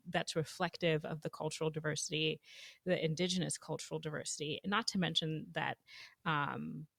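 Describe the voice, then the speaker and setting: A young adult woman from Zambia co-hosting a casual podcast over a video call, expressive and playful, with plenty of fillers. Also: low voice, vocal fry, dry recording, studio quality. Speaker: podcast co-host.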